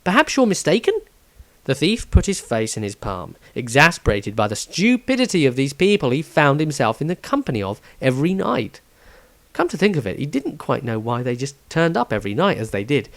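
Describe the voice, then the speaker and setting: A man narrating a fantasy story aloud in an expressive storytelling manner. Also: medium pitch, fairly quick, no room sound.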